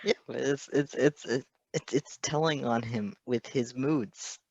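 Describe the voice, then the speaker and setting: A woman chatting casually as a co-reader, with a husky, creaky voice and halting, amused delivery. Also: very deep voice, a little mellow, no echo. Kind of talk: casual chat. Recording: dry, slightly muffled recording.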